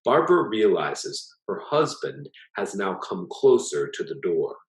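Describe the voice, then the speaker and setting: A man reading a literary short story aloud as its author, in a measured, plain storytelling delivery. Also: lowish voice, sing-song. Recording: echoey, roomy sound.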